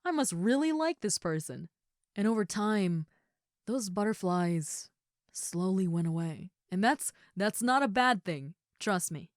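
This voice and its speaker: A woman playing an affectionate giant ogre roommate in an intimate comfort roleplay, speaking tenderly and haltingly with soft, breathy asides. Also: mid-range voice, lively intonation, dry recording, clean studio sound, lightly acted. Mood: earnest, reassuring. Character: gentle, affectionate giant female ogre roommate, shyly confessing.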